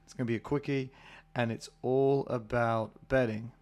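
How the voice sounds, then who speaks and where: A British man hosting a casual solo podcast, husky from a cold, chatty and rambling with many fillers. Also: medium pitch, steady tone, moderate pace, a little mellow. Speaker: podcast host.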